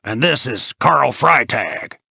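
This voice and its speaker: A gruff, folksy older American mountaineer as a male narrator plays him. He drawls bluntly and mangles foreign names. The voice is lifted and punchy, recorded dry for an audiobook. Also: medium pitch, expressive, slow, pushed and bright, no room sound, voice pushed up, exaggerated voice. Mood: brisk, dutiful.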